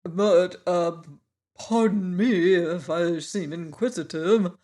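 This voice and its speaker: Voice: medium pitch, takes it slowly, darkish tone, lightly acted. A reader voicing a pompous, learned male insect who lectures in grand, formal phrases. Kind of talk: audiobook character voice.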